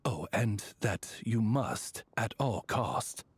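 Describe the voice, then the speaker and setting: A man's voice: fairly low pitch, lively intonation, takes it slowly, breathy edge, no room sound, exaggerated voice. A man voicing a formal, loyal male raven attendant in a game, speaking in a courtly, polite way. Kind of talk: game voice acting.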